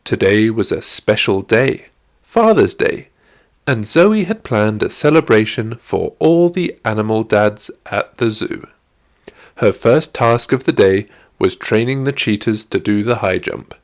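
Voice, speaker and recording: A man's voice: fairly low pitch, expressive, measured pace. A man reading a scripted children's story as an audiobook narrator.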